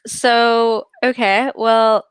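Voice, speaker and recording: Woman host, smooth clear voice, super dry close sound, chatty with lots of ums. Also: a little high, level tone, very slow, no room sound. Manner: chatty, frequent fillers. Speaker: podcast host. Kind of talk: casual livestream hosting.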